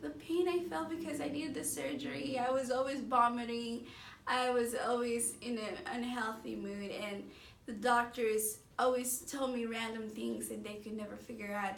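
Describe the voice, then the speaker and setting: A woman's voice: a little high, creaky, fairly bright tone. A woman of thirty-two from Mexico City and the Bay Area, giving an earnest, unscripted personal testimonial in a conversational, emphatic way. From born in Mexico City, grew up in the San Francisco Bay Area. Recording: echoey room, distant mic, faint noise.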